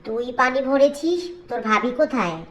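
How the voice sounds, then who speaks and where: High-voiced, scolding older mother-in-law played by a woman. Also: animated, fairly quick, low-quality recording. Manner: dramatic wailing, nagging and ordering. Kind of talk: cartoon fairy tale voice acting.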